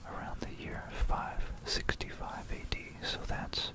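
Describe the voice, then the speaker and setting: A whispered voice delivers an ASMR history talk at a very unhurried pace. The delivery is informal and musing, with self-corrections along the way. It is captured close on a binaural microphone. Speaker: ASMR video creator. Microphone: close stereo binaural microphone.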